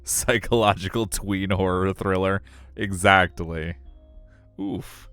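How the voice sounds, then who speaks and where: A man's voice: sits low, takes it slowly, fairly bright tone, dry recording, soft background music. A middle-aged man live streaming a visual novel, switching between casual chat and narration. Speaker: game streamer.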